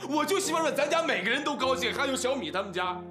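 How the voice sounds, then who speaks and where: Exasperated, animated younger brother. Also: male voice, very high voice, fast talker. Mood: hurt, earnest. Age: 30s. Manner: pleading, repeating himself, rhetorical questions. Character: exasperated younger brother, earnest married surgeon, long-suffering. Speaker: actor in a family TV drama. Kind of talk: naturalistic TV drama acting. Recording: background music under dialogue.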